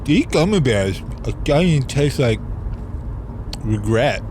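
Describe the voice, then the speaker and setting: Man doing a goofy slacker, slow drawl, wildly swinging pitch, road noise behind. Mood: lazy. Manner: slow, carefree and excitable. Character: goofy, snack-loving male slacker. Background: car ambience.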